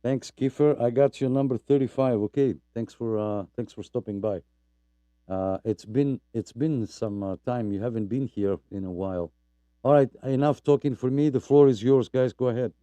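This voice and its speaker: A man's voice: medium pitch, moderate pace, mellow, smooth, dry recording. A man from Greece hosting a weekly radio net, rambling and chatty with lots of fillers and apologies for talking too much. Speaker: net host. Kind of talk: casual radio net chat.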